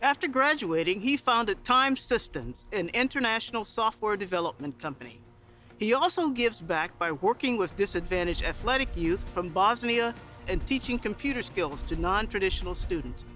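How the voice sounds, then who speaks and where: A man reading a formal, scripted introduction of an award recipient in a measured way. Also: sits high, animated, pushed and bright, soft background music. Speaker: university administrator presenting award.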